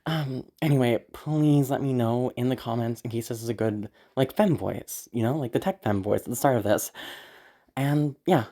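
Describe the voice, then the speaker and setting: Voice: mid-range voice, normal speed, mellow. A person giving a casual, rambling update to listeners in an anxious, apologetic, hesitant way, swearing casually, with a sick, tired throat. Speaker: audio content creator.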